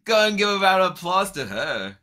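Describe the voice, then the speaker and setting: A man hosting an online open mic, introducing the next performer in a friendly, brisk way over a video call. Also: sits high, sing-song, bright, forceful tone.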